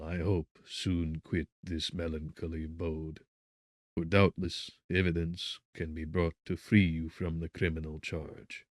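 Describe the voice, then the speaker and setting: A man's voice: deep voice, no room sound, clean studio sound. A man voicing a sympathetic male magistrate in a gothic audiobook, speaking formally and with solicitude. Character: kindly, sympathetic male magistrate, courteous and compassionate.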